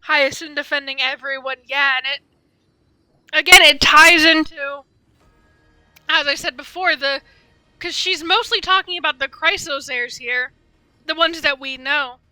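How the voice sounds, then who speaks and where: Female live game commentator, high voice, rambling fan musings, faint music underneath. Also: fairly even intonation, unhurried, long pauses, bright, forceful tone, no echo, poor audio. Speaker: game streamer.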